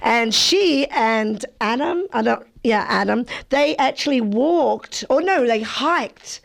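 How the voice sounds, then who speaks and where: A woman hosting an interview show, loud and rambling, often cutting herself off mid-sentence. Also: a little high, expressive, takes it slowly, gravelly. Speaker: interview host. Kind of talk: casual interview.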